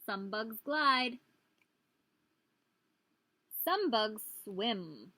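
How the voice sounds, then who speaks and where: A woman's voice: fairly high pitch, animated, drawn-out words, bright, forceful tone, no echo. A woman, a preschool teacher, reading a picture book aloud to young children and prompting them with questions.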